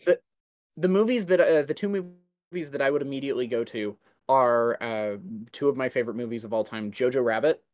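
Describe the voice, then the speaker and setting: Male, medium pitch, casual podcast discussion, halting delivery, thinking out loud. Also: no room sound.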